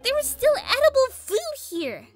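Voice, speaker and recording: A woman's voice: very high-pitched, very animated, normal speed, faint music behind, pitched above the performer's own voice. A woman voicing a sarcastic, outraged little girl pony villain in a cartoon fan story. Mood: exasperated outrage.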